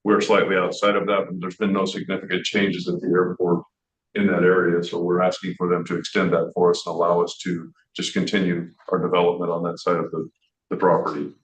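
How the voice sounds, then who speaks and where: A man's voice: low-pitched, flat delivery, fairly quick. A man on staff presenting a memo at a public board meeting, talking loosely and conversationally as he goes off the written memo. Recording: roomy, dull meeting-room audio.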